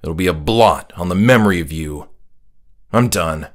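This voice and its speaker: A man's voice: sits low, moderate pace, pitched below the performer's own voice, close to an everyday voice. A man voicing a bitter, angry son confronting his father in a biting, accusatory manner. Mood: cold anger.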